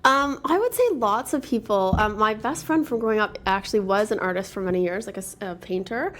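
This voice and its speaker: A middle-aged woman from Toronto, talking as an interview guest in an upbeat, relaxed way with many ums. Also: mid-range voice, fairly quick. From Toronto, Canada. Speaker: interview guest, marketing executive. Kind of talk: casual conversation.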